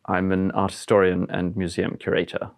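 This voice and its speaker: A man's voice: sits low, completely flat delivery, moderate pace, creaky. A man of about forty who grew up in France, a museum curator giving thoughtful, searching answers in an interview. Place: historic house, indoors.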